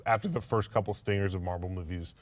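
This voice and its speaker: A man's voice: sits low, fairly even intonation, fast, dry recording. A man on a discussion panel, talking in a blunt, informal, casual way. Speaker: panelist on a film and gaming discussion show. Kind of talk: casual chat.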